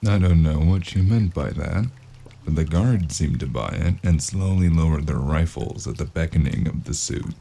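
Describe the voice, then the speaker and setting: A man playing a world-weary, wry noir private investigator in an audio drama, with a gravelly voice. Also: rumbling low, moderate pace, dark tone, heavily acted. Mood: brooding, wry.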